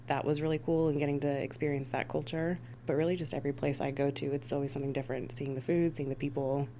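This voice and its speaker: A woman's voice: deep voice, little pitch movement, quick pace, warm tone, slight background noise. A woman from the United States giving conversational answers as an emergency dispatch supervisor being interviewed. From United States (Missouri and Rhode Island).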